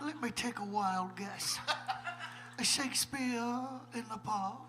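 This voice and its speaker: A man's voice: high-pitched, slow pace, heavy background noise. A man voicing a cuddly, honey-loving cartoon bear who plays a cocky superhero in a live comic radio play. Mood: playful, teasing. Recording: live convention stage, music behind.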